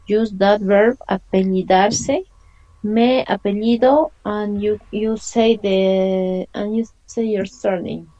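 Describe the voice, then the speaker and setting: A woman's voice: mid-range voice, fairly even intonation, slow, mellow, lo-fi sound. A woman, teaching an online language lesson and patiently explaining and repeating questions. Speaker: Spanish teacher.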